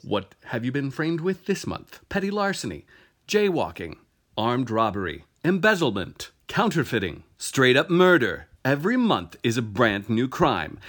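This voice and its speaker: A man playing an over-excited infomercial announcer in a parody ad, with a hyped, dramatic sales delivery. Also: medium pitch, a little slow. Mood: hyped salesmanship. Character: over-excited male infomercial announcer pitching a crime subscription. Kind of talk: parody ad read.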